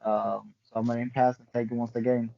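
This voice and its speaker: A man's voice: mid-range voice, little pitch movement, fast talker, gravelly, highs cut off. A man hosting a podcast in a friendly way, asking long rambling questions. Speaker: podcast host.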